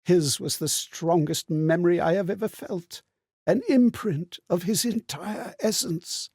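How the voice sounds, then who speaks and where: High, theatrical, sorrowful husky spirit. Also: male voice, a little slow, darkish tone, no room sound, studio quality, full character voice. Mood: moved, earnest. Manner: theatrical, earnest, musing. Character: gentle sorrowful male spirit of borrowed memories. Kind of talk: scripted video game character monologue.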